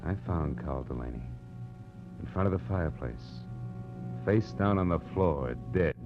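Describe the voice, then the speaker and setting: An actor playing a hard-boiled, world-weary private detective in a 1940s radio drama, with an American accent and clipped, deadpan delivery. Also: male voice, a bass voice, a little slow, full character voice. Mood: tense, measured. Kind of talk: 1940s radio noir acting, first-person narration. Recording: muffled old radio recording with music.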